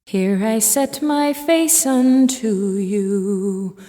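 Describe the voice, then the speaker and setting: A woman singing a slow, tender love song with gentle, sustained notes. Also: mid-range voice, faint music behind. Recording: song recording with long reverb.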